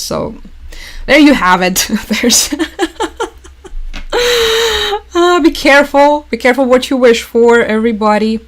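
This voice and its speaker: Sarcastic woman. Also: on the high side. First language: Russian. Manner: sarcastic, wry, quick to laugh. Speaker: livestream host. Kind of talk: casual news commentary to chat.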